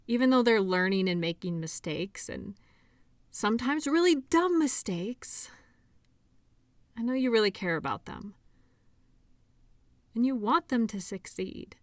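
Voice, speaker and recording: A woman playing a caring, shy doctor in an intimate audio roleplay, talking softly and hesitantly in a conversational way. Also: on the high side, big pitch swings, unhurried, pauses often, dry recording. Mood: sincere, admiring. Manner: soft, hesitant, giggly, conversational.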